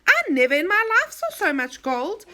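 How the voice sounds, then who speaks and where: A woman's voice: extremely high pitch, very animated, moderate pace, bright, forceful tone, pitched above the performer's own voice, full character voice. A woman voicing an eager, wondering boy from a storybook. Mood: amazed.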